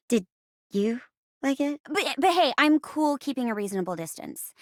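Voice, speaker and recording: High, animated, flirty theatre chair. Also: female voice, dry recording, studio quality. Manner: bubbly, theatrical, stammering when flustered. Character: living chair, eager theatre actress, flustered and flirty. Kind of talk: video game character voice acting.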